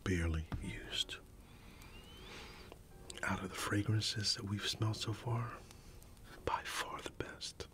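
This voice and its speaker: A middle-aged man doing an ASMR roleplay, whispering and soft-spoken, reminiscing unhurriedly with quiet chuckles. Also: fairly low pitch, takes it slowly, long pauses. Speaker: ASMR creator. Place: dim indoor room. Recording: soft music in the background. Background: music.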